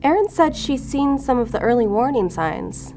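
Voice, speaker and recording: A woman's voice: high voice, expressive, normal speed, darkish tone. An actress playing a shrewd, controlled female investigator in an audio drama, firm and needling. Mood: cool, needling. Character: cool, shrewd female investigator, bluffing and relentless. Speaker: law enforcement agent. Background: music under the voice.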